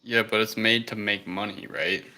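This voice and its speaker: A man with a fairly low voice and a bright, forceful edge chats on a podcast. His delivery is casual and a bit tentative, with loosely built sentences. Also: fairly even intonation, normal speed. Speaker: podcast panelist. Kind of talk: casual chat.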